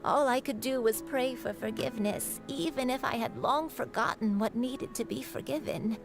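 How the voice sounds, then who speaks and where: A woman voicing an earnest, remorseful young crane disciple in a video game, solemn, reflective and halting. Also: fairly high pitch, creaky, music in the background. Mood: remorseful, wistful. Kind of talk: dramatic video game voice acting.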